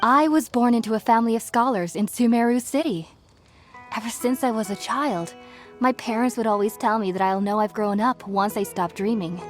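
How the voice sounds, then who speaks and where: A woman playing an earnest, gentle young researcher in a video game, explaining things in a thoughtful, articulate way. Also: a little high, moderate pace, lightly acted. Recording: music behind the voice.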